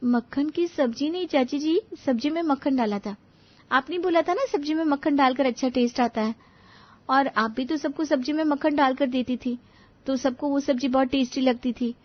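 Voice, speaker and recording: Woman playing naive young daughter-in-law, eager, earnest, high voice, cartoon family story, dry muffled sound. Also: moderate pace, lightly acted. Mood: earnest, puzzled. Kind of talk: animated moral-story dialogue.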